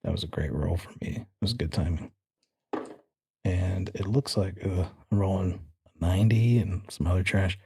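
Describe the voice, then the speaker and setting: A man's voice: low-pitched, normal speed, gravelly, a little mellow, husky, dry recording. A man narrating an improvised tabletop horror game as game master, in a casual, slangy and vivid way.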